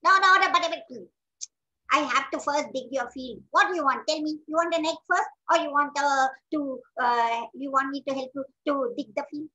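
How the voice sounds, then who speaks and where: A woman's voice: high-pitched, normal speed, sharp tone, low-quality recording. A woman voicing a plucky little red hen for a children's story, protesting and questioning with clucks.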